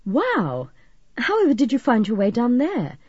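Anxious, courteous young man played by a female narrator, earnest and mellow, storybook reading. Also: on the high side, animated, moderate pace, breathy edge, no echo, muffled recording, voice pushed up. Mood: anxious, surprised. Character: worried, polite young man with a sick father.

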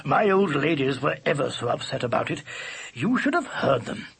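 A man's voice: a little high, big pitch swings, normal speed, gravelly, fairly bright tone, breathy edge, exaggerated voice. A man voicing a good-natured, drowsy, shaggy storybook dog who speaks in a casual, chatty way. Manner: casual, matter-of-fact, chatty.

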